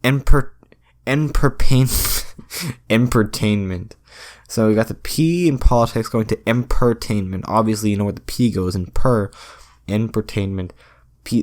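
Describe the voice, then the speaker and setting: Rambling young man, low voice. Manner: rambling, self-deprecating, deadpan jokes with many restarts. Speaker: podcast host. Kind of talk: rambling solo podcast monologue.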